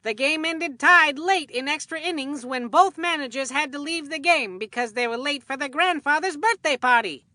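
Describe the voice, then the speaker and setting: A woman voicing an excitable, food-loving boy baseball commentator in a cartoon game, with a folksy, earnest showman delivery. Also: sits high, normal speed, few pauses, dry recording.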